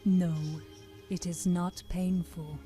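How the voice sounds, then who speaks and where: A woman voicing a calm, menacing demon of wrath with solemn, deliberate delivery in a visual novel game. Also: fairly low pitch, soft background music. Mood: calm, ominous. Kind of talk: dramatic visual novel voice acting.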